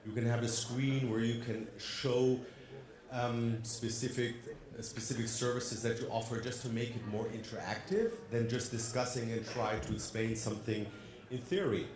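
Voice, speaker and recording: A man's voice: medium pitch, normal speed, roomy sound, a crowd in the background. German-accented man presenting at a conference. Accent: German-tinged English. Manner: upbeat, addresses the audience with questions. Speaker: conference speaker. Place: conference hall.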